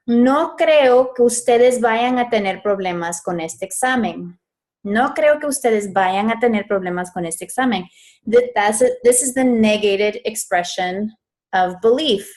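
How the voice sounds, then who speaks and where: A woman teaching grammar in a live online session, speaking into a computer mic. She is chatty and casual, often stops to correct herself and laughs off small mishaps. Her voice sits in an ordinary middle range. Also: mid-range voice. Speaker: Spanish interpreting coach.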